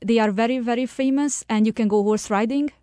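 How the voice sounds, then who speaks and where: A woman's voice: a little high, a little fast, dark tone, no echo, studio quality. A woman from northern Hungary with a Hungarian accent, a radio interview guest who speaks carefully and agrees emphatically.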